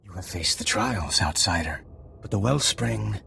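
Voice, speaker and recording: A man voicing a solemn alien native in a video game, with a very gravelly voice and formal, stilted phrasing. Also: on the low side, animated, measured pace, pushed and bright, a little hiss or hum, full character voice. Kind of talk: video game cutscene acting.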